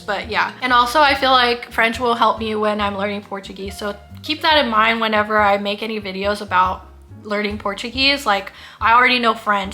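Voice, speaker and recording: A chatty woman in her thirties from the Philippines, whose first language is Kapampangan, talks casually to the camera, often using "like" and sometimes stumbling over her words. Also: a little high. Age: 30s. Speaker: vlogger. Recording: soft background music.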